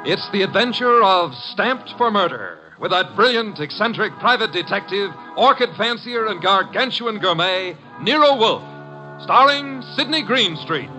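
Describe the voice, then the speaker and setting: A man's voice: high voice, lively intonation, unhurried, bright, forceful tone. A man announcing a 1950s radio show with a dramatic scripted introduction. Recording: dull vintage 1950 radio recording. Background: faint music.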